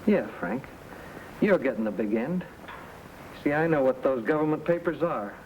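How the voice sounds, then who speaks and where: A man's voice: medium pitch, lively intonation, normal speed, darkish tone, natural voice. Man acting a terse young western man, noisy recording. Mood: hard, demanding. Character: young outlaw and his twin brother, hard and insistent. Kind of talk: old-time radio western acting. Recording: noisy old radio recording.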